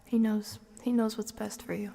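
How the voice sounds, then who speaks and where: Softly whispering woman streaming ASMR. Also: medium pitch, flat delivery, moderate pace. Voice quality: soft, breathy whispering and murmuring when talking. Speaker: ASMR livestream host. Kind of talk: soft ASMR chat to stream viewers.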